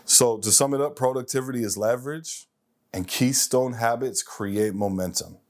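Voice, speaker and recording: A man's voice: mid-range voice, normal speed. A man of forty hosting a self-improvement show, speaking emphatically in a motivational monologue and repeating phrases for effect.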